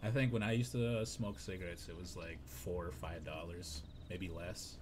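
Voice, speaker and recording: A man in his thirties with a hoarse, raspy voice, chatting in a laid-back, unhurried way while streaming a game. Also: low voice, fairly even intonation, background noise. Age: 30s. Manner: laid-back, unhurried, drifting between game remarks and stories. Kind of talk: casual live-stream chat while gaming.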